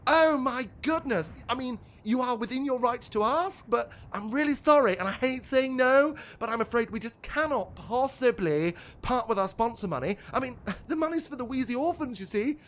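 A woman's voice: a little high, expressive, moderate pace, hardly any pauses, natural voice. A woman playing a cheerful, overly polite leader of a charity cycling club who talks in a gushing, apologetic way.

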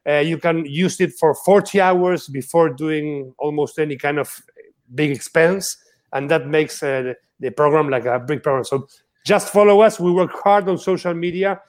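A man's voice: fairly high pitch, normal speed. Hesitant man speaking English. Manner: hesitant, slightly broken English with fillers. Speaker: guest.

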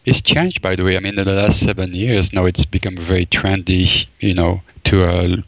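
A man's voice: deep voice, fairly even intonation, normal speed, no echo, low-quality recording. A man from Belgium, a forager and chef, answering interview questions thoughtfully, with many fillers and restarts. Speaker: forager and wild food chef, guest.

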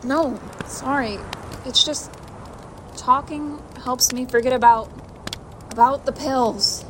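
A woman's voice: high-pitched, slow. Friendly young woman over noisy ambience played by an actress. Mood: apologetic. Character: chatty, apologetic, friendly young woman traveller. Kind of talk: audio drama acting.

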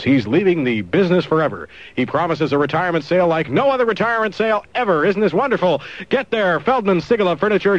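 A man's voice: a little high, no echo. A man working as a radio DJ, delivering jokey, punchy 1970s top-40 patter and ad reads. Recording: dull lo-fi 1970s AM radio aircheck.

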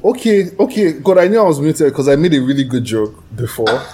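A man's voice: a little high, sing-song, brisk, crowd noise. A man chatting casually as a quiz contestant, joking and poking fun at himself. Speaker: trivia contestant.

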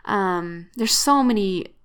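A woman's voice: medium pitch, animated, slow pace, clean tone. Chatty upbeat woman co-host. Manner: chatty, upbeat, laughs easily. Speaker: podcast co-host. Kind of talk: casual chat.

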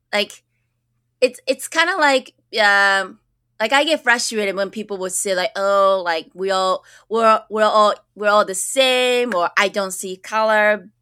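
Young woman from japan, bright clear voice, dry close mic, earnest and full of "like"s. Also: mid-range voice, no echo. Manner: earnest, many fillers and restarts. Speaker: school counselor guest. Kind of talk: casual interview conversation. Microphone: podcast microphone.

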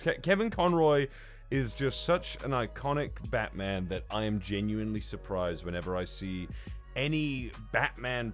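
A man chatting casually as a podcast co-host, with halting, hesitant phrasing. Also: lowish voice.

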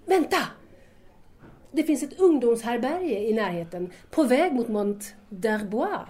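A woman's voice: fairly high pitch, expressive, mellow, natural voice. A woman playing an eager, polite eighteen-year-old girl in an audio drama, speaking earnestly.

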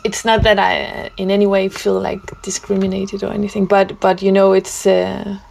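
Gravelly woman, hesitant and thoughtful. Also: medium pitch, monotone, moderate pace, a little mellow, rough recording. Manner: hesitant, with many fillers and restarts. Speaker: guest musician. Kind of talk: casual conversation.

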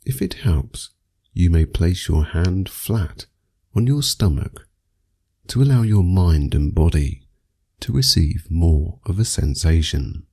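A man guides a sleep meditation in a low, husky voice. He reads very slowly and soothingly, leaving long pauses between measured phrases. Music plays behind him. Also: expressive, warm tone.

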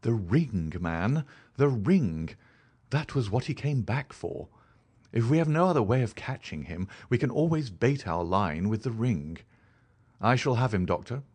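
A man voicing a sharp, clever male detective who asks quick, commanding questions. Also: mid-range voice, sing-song, husky. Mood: brisk. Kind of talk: audiobook character voice.

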